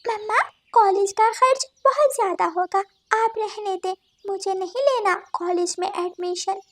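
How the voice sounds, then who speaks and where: Squeaky little girl sparrow. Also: a little slow, no echo. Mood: reluctant. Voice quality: squeaky, childlike. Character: clever, dutiful young girl sparrow.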